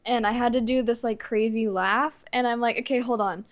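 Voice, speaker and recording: A nineteen-year-old woman chatting casually as an interview guest, with a giggly, animated way of telling stories. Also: fairly high pitch, brisk. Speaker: guest, amateur voice actor.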